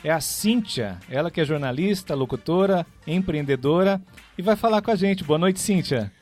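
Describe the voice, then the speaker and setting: A man's voice: a little high, expressive, moderate pace, darkish tone, soft background music. A man with a Brazilian accent hosting a radio interview, introducing and prompting in a conversational way. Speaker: radio show host.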